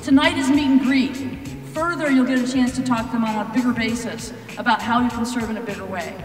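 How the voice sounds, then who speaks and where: Loud woman in her fifties addressing a hall. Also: on the high side, brisk. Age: 50s. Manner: loud, urging, energetic. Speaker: event organizer. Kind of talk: addressing a crowd at an event. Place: large hall at a live event. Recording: echoey hall voice from far off, music behind.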